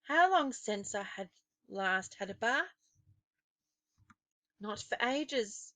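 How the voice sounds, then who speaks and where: A woman narrating a children's story expressively, with dramatic, deliberate phrasing. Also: on the high side, lively intonation, a bit bright, dry recording.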